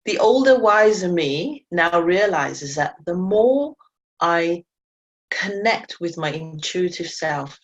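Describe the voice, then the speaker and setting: A woman teaching animal communication in a webinar, speaking earnestly and reflectively with frequent self-corrections; English is not her first language. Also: lowish voice. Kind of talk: webinar talk to learners. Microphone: video call mic. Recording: dull lo-fi webinar audio.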